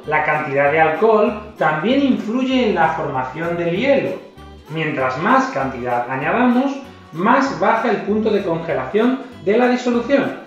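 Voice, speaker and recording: A middle-aged man with a Spain Spanish accent teaches a science experiment, giving clear instructions to children. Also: high-pitched. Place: home kitchen, indoors. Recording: roomy, distant, dull kitchen audio. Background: background music.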